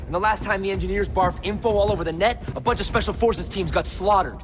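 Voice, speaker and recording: An actor playing a young tech analyst in a video game, informal, eager and insistent. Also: male voice, sits high, fairly even intonation, fast talker, a little hiss or hum, lightly acted. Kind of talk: cinematic video game acting.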